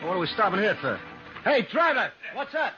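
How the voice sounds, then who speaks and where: An actor playing a nervous, querulous and somewhat shady stagecoach passenger, agitated and demanding, in 1950s radio western style. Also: male voice, sits high, very animated, a little fast, over loud music. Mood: alarmed, demanding. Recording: noisy, dull vintage 1950s radio recording.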